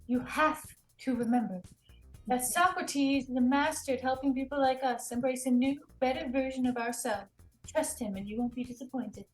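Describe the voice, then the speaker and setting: A seductive female recruiter, played by a woman in a comedic table read, with a distinctly high voice. She coaxes and reassures, always persuading. Soft music sits behind her. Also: normal speed, natural voice, rough recording. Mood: coaxing. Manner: coaxing, reassuring.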